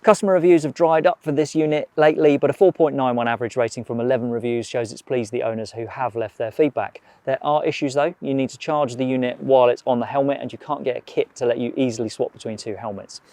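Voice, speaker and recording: A man with a British accent and a mid-pitched voice narrates a scripted product review. He speaks as a presenter, in a measured way, setting out each point in a clear structure. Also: steady tone, a little mellow, no room sound.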